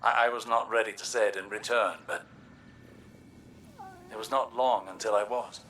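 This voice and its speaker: A man acting a stern, pained scholar with a tender side in a video game, measured and halting in delivery. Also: on the low side, steady tone, bright, forceful tone, distant mic, a little hiss or hum. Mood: tender, reluctant.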